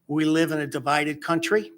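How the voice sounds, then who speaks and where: A man chairing a town council meeting, speaking earnestly and haltingly with many fillers. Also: on the high side, fairly even intonation, a bit bright.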